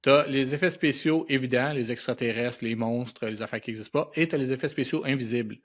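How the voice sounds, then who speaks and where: A middle-aged man with a Quebec French accent and a mid-pitched voice. He talks as an interview guest in a casual, colloquial storytelling way, dropping in English words. The recording is slightly dull and lo-fi. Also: fairly quick. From Quebec, Canada. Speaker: podcast guest, animation and visual effects professional. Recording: dull, band-limited podcast audio.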